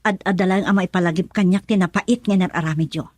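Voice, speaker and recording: A woman's voice: fairly low pitch, steady tone, fast, darkish tone, no echo, close to an everyday voice. A woman playing a hurt, reproachful adult daughter in a radio drama, speaking with emphatic feeling. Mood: hurt, bitter. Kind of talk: Ilocano radio drama acting.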